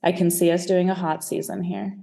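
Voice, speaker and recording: A man's voice: high voice, level tone, brisk, creaky, warm tone. A man voicing a grumbling Second World War serviceman reading out his diary in clipped phrases. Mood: exasperated.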